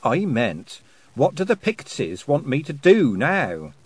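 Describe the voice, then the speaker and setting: A man's voice: medium pitch, wildly swooping pitch, takes it slowly, slightly rough, no room sound, voice pushed up, heavily acted. A man voicing an earnest, stubborn young girl in a storybook, direct and questioning. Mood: indignant, puzzled.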